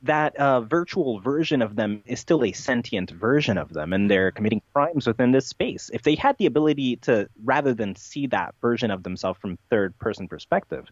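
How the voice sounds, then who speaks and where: A man talking as an interview guest, giving animated, emphatic, rambling explanations. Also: mid-range voice, moderate pace, hardly any pauses, dry recording. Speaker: podcast guest being interviewed. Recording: dull, lo-fi, dry sound.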